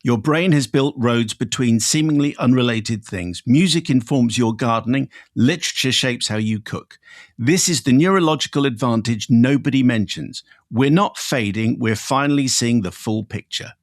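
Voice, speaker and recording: A man's voice: mid-range voice, moderate pace. A man co-hosting a casual podcast chat, prodding his partner with dry, teasing questions. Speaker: co-host.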